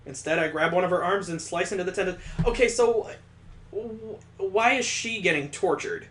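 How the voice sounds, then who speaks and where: A man's voice: high-pitched, normal speed, a bit bright, reverberant, slight background noise. A man reading a visual novel aloud, mixing dramatic narration with sarcastic comic asides. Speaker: let's play host.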